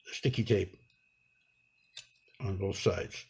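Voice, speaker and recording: A man giving an improvised hands-on tutorial, talking unhurriedly and haltingly through each step as he works. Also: low voice, steady tone, a little mellow, husky. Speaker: instructor demonstrating a repair.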